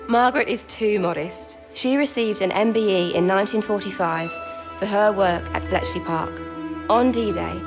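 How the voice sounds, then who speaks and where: An actress playing an eager, warm-hearted young female codebreaker in a wartime audio drama. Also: medium pitch, natural voice. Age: young adult.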